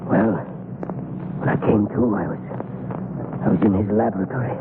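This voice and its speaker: An actor playing a tormented, pleading captive man in a 1930s radio drama, agitated and halting. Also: fairly low pitch, close to an everyday voice. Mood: bitter, anguished. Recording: scratchy, noisy, narrowband 1930s radio recording.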